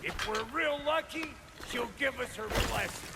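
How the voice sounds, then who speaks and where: An actor playing a boisterous, cranky, proud dwarf blacksmith in a video game, with a gravelly voice and folksy, irreverent talk. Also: male voice, extremely high pitch, lively intonation, normal speed, very noisy, heavily acted. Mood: grumbling. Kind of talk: video game character acting.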